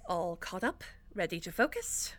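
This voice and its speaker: A woman's voice: on the low side, big pitch swings, moderate pace, a bit bright, no room sound. A woman playing an exasperated, sardonic theater director in an audio drama, bossy but encouraging. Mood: brisk, annoyed. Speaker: actor in an audio fiction podcast. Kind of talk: acted audio drama dialogue.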